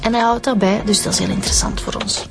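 Flemish woman detective, middle pitch, keen and matter-of-fact, natural dialogue over clear music. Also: fairly even intonation, fast talker. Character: keen, matter-of-fact female police investigator reporting findings. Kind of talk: conversational radio drama dialogue.

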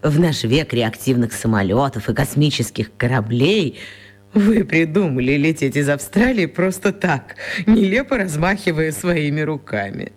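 A woman's voice: low-pitched, animated, moderate pace, darkish tone. An actress playing a chatty, eccentric elderly woman pensioner with a genteel, coquettish manner in a children's radio play. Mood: teasing reproach.

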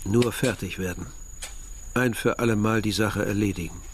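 An actor playing a young villager who tells his story in a measured, literary way, as narration in a radio drama. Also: lowish voice, fairly even intonation, slight background noise, lightly acted. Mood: tense. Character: young Algerian villager narrating a grim search for remains. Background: music.

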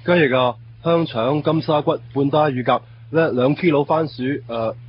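An actor playing a bumbling, timid, food-loving buddy in a Cantonese radio drama, with a hesitant, stammering, rambling manner and a Hong Kong accent. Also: male voice, on the high side, moderate pace, close to an everyday voice. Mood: eager, flustered. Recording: dull lo-fi radio drama, noisy ambience.

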